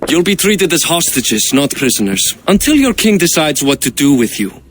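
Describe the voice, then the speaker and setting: An actor playing a bold, gruff and sardonic Norse king in a video game. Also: fairly high pitch, big pitch swings, moderate pace, a bit bright, slight background noise. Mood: wry command. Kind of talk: cinematic video game acting.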